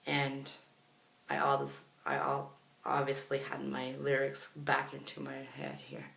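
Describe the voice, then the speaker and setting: A man tells a personal story casually, as if for a vlog. His mid-pitched voice has a lot of vocal fry. The sound is roomy and echoey, and he talks in a chatty way, often quoting others. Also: completely flat delivery, unhurried, bright, forceful tone, a little hiss or hum. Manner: chatty, reenacts others' words. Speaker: vlogger.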